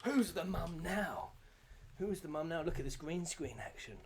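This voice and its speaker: A man's voice: on the high side, moderate pace, background noise. A man doing casual live reaction commentary as a game streamer, jokey, sweary and prone to exclaiming.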